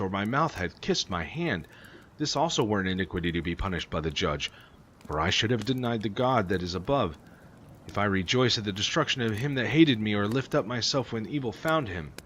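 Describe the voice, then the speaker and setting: Low-voiced man reading scripture, noisy recording. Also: fairly bright tone. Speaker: amateur Bible reader. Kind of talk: reading scripture aloud, King James Version. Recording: clearly noisy recording with ambience.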